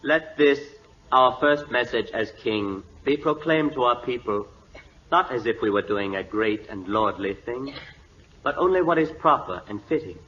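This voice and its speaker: An actor playing a young new king of Siam in an old radio drama, declaiming a proclamation in a formal, measured way. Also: medium pitch, animated, natural voice. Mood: solemn. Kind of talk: 1940s radio drama acting. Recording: dull old radio recording.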